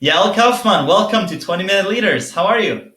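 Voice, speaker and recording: A man's voice: sits high, animated, brisk, sharp tone, echoey room. A man hosting an interview podcast, enthusiastic and often repeating words as he starts a question. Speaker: podcast host.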